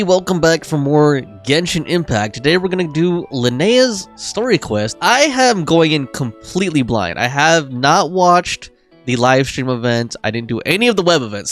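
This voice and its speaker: A woman's voice: deep voice, big pitch swings, normal speed. A woman gives casual commentary while playing a game. Her intonation swings widely as she reacts and muses aloud in an informal way. Faint game music runs underneath. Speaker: gaming video host.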